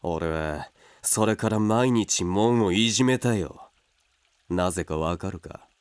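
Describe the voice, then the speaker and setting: An actor in a radio drama playing a coarse, hot-tempered older brother who is fiercely protective. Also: male voice, low-pitched, takes it slowly, no room sound. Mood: brooding. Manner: coarse, blunt rough speech. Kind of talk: Japanese radio drama acting.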